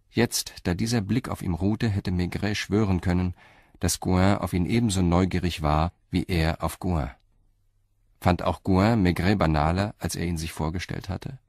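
Very deep, gravelly male narrator. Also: darkish tone, dry recording. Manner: measured, articulate. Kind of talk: scripted literary narration for a crime radio play.

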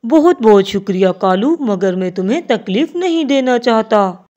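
A woman's voice: a little high, expressive, normal speed, warm tone, highs cut off, close to an everyday voice. Woman as kindly, trusting cartoon father bird. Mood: grateful.

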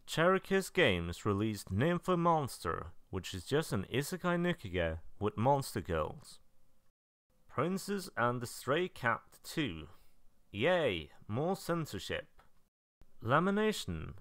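A man's voice: mid-range voice, fairly bright tone, smooth, no room sound. A man narrating semi-scripted commentary as a video reviewer, with a dry, understated sarcastic manner. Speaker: video narrator reviewing visual novel releases.

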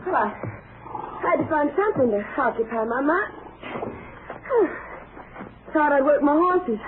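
High voice, haughty young rancher villainess, sharp, defensive, 1950s radio drama, phone-thin vintage recording. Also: female voice, sing-song, takes it slowly, phone-quality sound, noisy background, exaggerated voice. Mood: defensive, evasive. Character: haughty, cruel, evasive young horsewoman.

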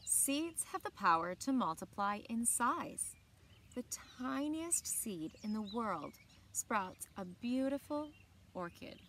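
A woman in her thirties reading aloud to children in an expressive storytelling style, with short asides. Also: on the high side, slow pace, a little hiss or hum. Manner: expressive, clearly paced reading to young listeners. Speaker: library story time reader. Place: outdoors on a lawn beside evergreen trees. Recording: outdoor ambience with birdsong. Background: birds and outdoor ambience.

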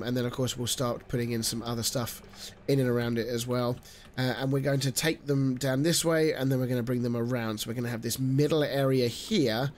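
A man in his thirties with a nasal, stuffy voice from a cold, rambling chattily through a let's-play with plenty of fillers. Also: mid-range voice. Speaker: gaming channel host. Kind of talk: casual let's-play commentary.

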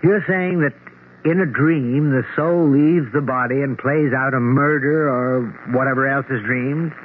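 An actor playing a sensible, skeptical friend in a radio drama, asking brisk, polite, probing questions. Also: male voice, medium pitch, steady tone, natural voice. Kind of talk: 1970s radio drama acting. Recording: tinny, noisy old radio broadcast.

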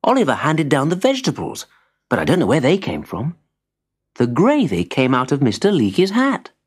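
A man reading a children's story as an animated narrator. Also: on the high side, normal speed, slightly rough. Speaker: storybook reader.

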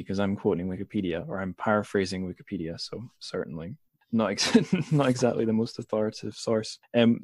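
A man's voice: sits low, darkish tone, no room sound, studio quality. A man hosting a podcast, chatting and summarizing in an informal, inquisitive way. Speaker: podcast host. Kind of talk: casual chat and informal summarizing.

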